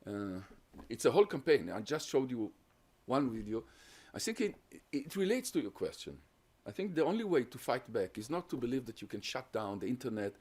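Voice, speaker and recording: A man gives an academic talk in an even middle voice. He sets out his points one by one in a measured, explanatory way. He also takes questions from the audience. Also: mid-range voice, husky. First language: Hungarian. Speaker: guest lecturer on terrorism research.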